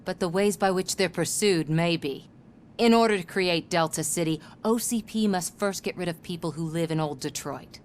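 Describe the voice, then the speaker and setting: Woman acting as investigative reporter, slightly low pitch, sardonic, pointed, game dialogue, faint background noise. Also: normal speed, a little mellow, lightly acted. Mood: skeptical, sardonic. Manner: wry, pointed.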